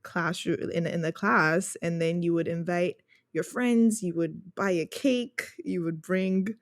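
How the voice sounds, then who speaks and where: A woman's voice: on the low side, fairly even intonation, unhurried, dry recording, clean studio sound. A woman from Ghana giving conversational, reflective answers as a podcast guest. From Ghana, grew up in Accra. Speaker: podcast guest, user experience researcher.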